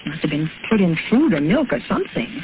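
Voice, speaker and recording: A woman's voice: medium pitch, big pitch swings. An actress playing a detective's earnest, worried female companion in a vintage radio drama. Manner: quick, earnest questioning. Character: anxious, loyal female companion. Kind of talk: 1940s radio acting. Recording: lo-fi old radio broadcast, noticeable hiss.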